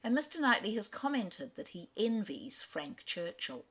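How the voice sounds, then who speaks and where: A woman with an Australian accent giving a literary talk and reading a novel aloud with measured, expressive storytelling. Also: medium pitch, husky. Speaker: literary speaker. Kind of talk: lecture ending in a dramatic reading.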